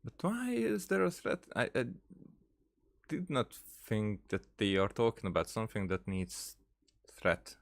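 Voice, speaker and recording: A man giving casual game playthrough commentary as a streamer, thinking aloud haltingly and repeating words. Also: fairly low pitch, huge pitch swings, creaky. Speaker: gaming streamer.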